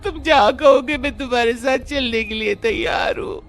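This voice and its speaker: A woman voicing a terrified young village wife in an animated horror story, pleading and tearful with a shaky delivery. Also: on the high side, moderate pace, soft background music, lightly acted.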